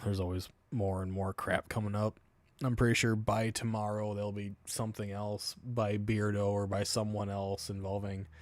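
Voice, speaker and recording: Man, Albertan Canadian accent, low voice, dry close sound, rambling profane livestream chat. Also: darkish tone, no echo. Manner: loose, profane, meandering asides. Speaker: livestream host.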